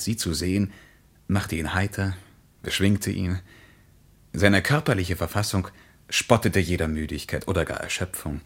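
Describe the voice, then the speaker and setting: An actor playing a reflective traveller who recounts a journey in measured, deliberate words in a literary radio play. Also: male voice, low-pitched.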